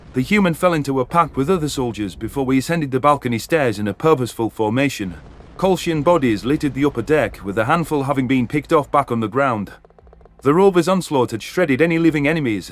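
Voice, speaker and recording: A man's voice: medium pitch, close to an everyday voice. A man playing a grieving, vengeful alien soldier who narrates a sci-fi war story in earnest, formal, articulate language. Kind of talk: first-person sci-fi story narration and dialogue.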